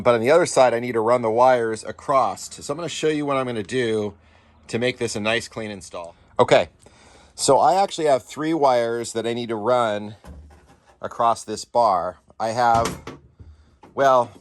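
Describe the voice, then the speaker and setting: A man's voice: mid-range voice, normal speed. A man with a mid-range voice walks viewers through a hands-on installation. He talks informally and explains things step by step, like the host of a DIY channel. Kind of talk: casual hands-on install tutorial.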